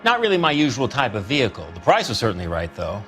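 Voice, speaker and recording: A man's voice: medium pitch, wildly swooping pitch, fast talker, a bit bright, soft background music. Slick, swooping used-car salesman. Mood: breezy, wry. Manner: jokey, folksy patter, calling others son. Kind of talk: video game character acting.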